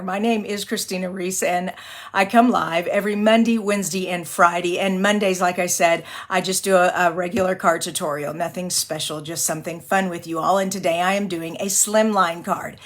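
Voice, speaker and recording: A woman from Texas hosting a live card-making tutorial, her voice a little raspy from singing and allergies, who rambles, apologizes often and laughs easily. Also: lowish voice, normal speed. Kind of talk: live-stream craft tutorial, chatty.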